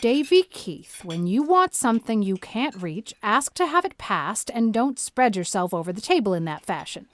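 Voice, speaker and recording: A woman playing a stern, no-nonsense older country guardian in an audio drama, brisk, opinionated and plain-spoken. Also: a little high, sing-song. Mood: scolding.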